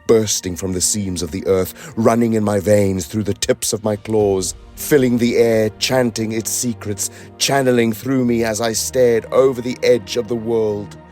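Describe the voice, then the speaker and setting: A man voicing an awestruck, yearning dragon in a measured, reflective, dramatic reading of poetic prose with deliberate pauses. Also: fairly low pitch, a little mellow, close to an everyday voice. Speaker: voice-over reader.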